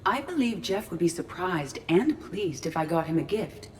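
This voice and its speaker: Game role, woman acting curious literal female AI. Lowish voice, precise formal phrasing, dry delivery, noisy ambience. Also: animated, moderate pace, a little mellow, lightly acted. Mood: measured, curious. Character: female ship artificial intelligence in android body, curious, literal, earnest. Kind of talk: video game dialogue acting.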